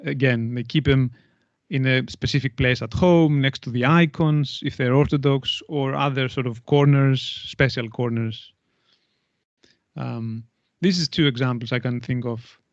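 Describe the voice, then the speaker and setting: Guy being interviewed, explains stuff kinda stop-start, mid-pitched voice, dull lo-fi sound. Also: steady tone, unhurried, no echo. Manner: hesitant, stop-start phrasing with repeated words. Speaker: podcast guest, anthropologist.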